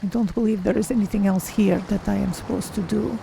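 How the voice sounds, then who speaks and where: A woman voicing a curious, cautious female Khajiit cat-person adventurer in a measured, formal way for a game roleplay. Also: mid-range voice, steady tone, creaky, dark tone, slight background noise, poor audio. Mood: thoughtful. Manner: measured, formal, speaks of self in third person. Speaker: streamer roleplaying a character. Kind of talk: in-character game roleplay narration.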